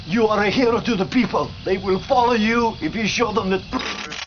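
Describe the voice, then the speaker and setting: An actor playing a frightened Soviet scientist in a video game cutscene, urgent and pleading. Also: male voice, sits high, moderate pace, lightly acted. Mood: urgent, fearful. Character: desperate, frightened male Soviet scientist with secrets. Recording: dull, noisy secret radio-like transmission.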